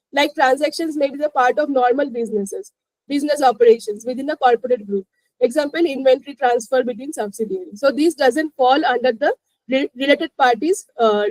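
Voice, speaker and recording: A woman's voice: high voice, a little mellow, dry recording, rough recording. A woman with an Indian accent presenting a live explanatory finance lesson, peppering it with "you know" and "like" and checking that listeners follow. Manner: repeats 'you know, like' and checks understanding.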